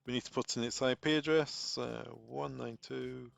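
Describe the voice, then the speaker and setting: A man's voice: mid-range voice, steady tone, moderate pace, creaky. A man presenting an unscripted technical tutorial, informally talking through each step aloud as he goes. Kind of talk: unscripted step-by-step software walkthrough.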